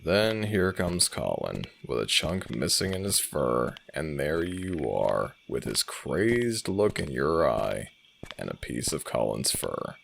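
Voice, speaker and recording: A man's voice: very deep voice, very animated, takes it slowly, creaky, fairly bright tone, a little hiss or hum, full character voice. A man playing a smug, teasing alpha werewolf pack leader and older brother in an audio roleplay drama. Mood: amused, boastful.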